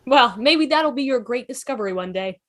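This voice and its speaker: Woman playing a breezy traveller, very high voice. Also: expressive, fairly quick, natural voice. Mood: cheerful, curious. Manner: upbeat, chatty. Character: breezy, curious, sociable space traveller. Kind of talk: fan table read of sci-fi drama.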